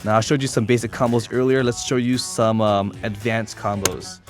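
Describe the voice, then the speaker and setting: Male gamer, lowish voice, informal and a little hesitant, walking through moves as a tutorial over clear background music. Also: steady tone, normal speed. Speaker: competitive gamer demonstrating a character. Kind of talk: unscripted fighting game tutorial commentary.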